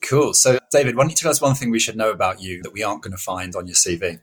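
Guy hosting a podcast, talks fast, bit rough round the edges, chatty interview style. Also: fairly low pitch, fairly even intonation. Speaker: podcast host. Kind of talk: conversational interview.

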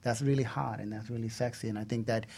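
A man's voice: medium pitch, steady tone, fast, vocal fry, dark tone, husky. A man hosting a casual radio discussion, talking in an earnest, conversational way. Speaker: radio show host.

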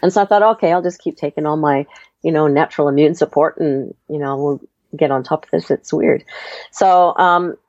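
A woman's voice: deep voice, fairly quick, no echo, lo-fi sound. Chatty woman with a Canadian-Australian accent. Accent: Canadian with Australian tinge. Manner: chatty, lively storytelling with fillers. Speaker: interview guest. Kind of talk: casual conversational interview.

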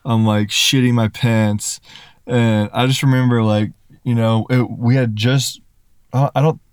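A man who is a rapper and YouTuber, telling stories in a relaxed way with casual swearing on a podcast. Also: medium pitch, a little slow, clean tone, no echo, clean studio sound.

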